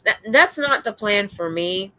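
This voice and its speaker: An older American woman hosting a livestream, chatting in a rambling, excitable way. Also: medium pitch, measured pace, low-quality recording. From United States. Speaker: livestream host. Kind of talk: casual chat. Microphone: streaming setup.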